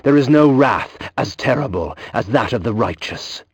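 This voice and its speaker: An actor playing a brooding, aristocratic vampire who narrates with solemn, archaic, theatrical diction. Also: male voice, mid-range voice, animated, normal speed, husky, dry recording. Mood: grave, reciting. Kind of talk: scripted gothic game narration.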